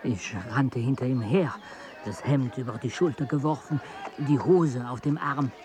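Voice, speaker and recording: A man's voice: medium pitch, unhurried, crowd noise, natural voice. An actor playing an easygoing, lustful French assistant who recounts his travels wryly in a radio play. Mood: sheepish. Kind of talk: German radio play acting and narration.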